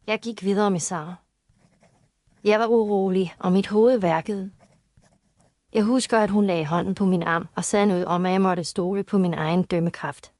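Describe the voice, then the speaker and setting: Woman as careful young heiress, mid-pitched. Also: a little mellow, dry recording, close to an everyday voice. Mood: uneasy, recollecting. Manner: formal, careful recounting. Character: injured young heiress, uneasy but clear-headed witness. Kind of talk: scripted audio story dialogue.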